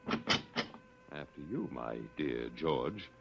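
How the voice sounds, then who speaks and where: An actor playing a wry, stubborn, easygoing captive in an old radio drama, with a sardonic, wisecracking delivery. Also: male voice, rumbling low, huge pitch swings, very slow, gravelly, loud music behind the voice, full character voice. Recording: dull lo-fi old radio recording.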